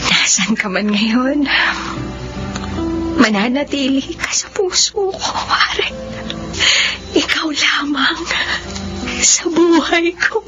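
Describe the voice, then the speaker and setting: A woman playing a tender, devoted young widow in a Filipino radio drama, speaking with tearful, breathy earnestness. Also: sits high, slow, pauses often. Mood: tender, wistful. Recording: dull lo-fi radio drama, music underneath.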